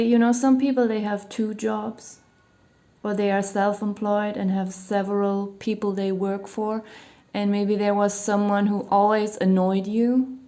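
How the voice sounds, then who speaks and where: A woman doing a tarot reading who is losing her voice a little and sounds slightly hoarse. She talks in a loose, meandering way with lots of "you know" and small hedges. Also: medium pitch, mellow. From Germany. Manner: meandering, hedging, many "you know" fillers. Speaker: tarot reader. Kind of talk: casual tarot reading monologue. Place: home near a hospital, sirens outside.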